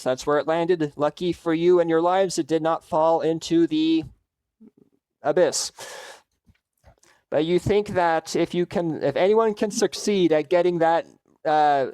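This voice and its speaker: Clear-voiced man running a tabletop game. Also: on the high side, darkish tone, dry recording, low-quality recording. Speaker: game master.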